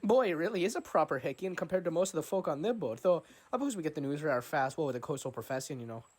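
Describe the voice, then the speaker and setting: A man doing a gabby, bearded old riverboat captain who won't stop prying. He speaks in a put-on brogue, pitched up high, and rambles along, tacking "you know" onto nearly every sentence. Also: animated, rapid-fire, darkish tone, breathy edge. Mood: jovial. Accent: put-on Scottish-like brogue.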